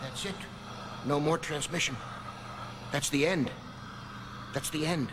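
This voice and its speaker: A man playing an excitable radio operator in an old time radio drama. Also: a little high, slow, noisy background. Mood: tense. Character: excitable male radio operator relaying messages.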